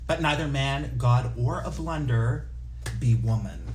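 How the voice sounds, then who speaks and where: A man's voice: mid-range voice, animated, a little slow, a little mellow, natural voice. A man playing a catty, theatrical, fashion-critical radio station staffer in a comedy script table read. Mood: teasing. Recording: roomy echo with clear background noise.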